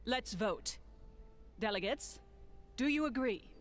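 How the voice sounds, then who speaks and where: An actress playing a poised, formal council scholar in a fantasy video game, choosing words deliberately. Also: female voice, a little high, expressive. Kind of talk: video game cutscene acting.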